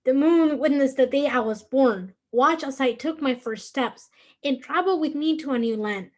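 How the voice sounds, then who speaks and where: A teenage student from Guatemala, a native Spanish speaker with a Guatemalan Spanish accent, reading her personal prose aloud in an earnest, measured way. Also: high voice. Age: late teens. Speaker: student reader.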